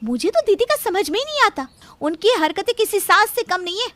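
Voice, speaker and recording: A woman's voice: very high-pitched, sing-song, a little fast, sharp tone, dry recording, full character voice. A woman playing a resentful, defiant young wife with a sarcastic, complaining manner in a family story.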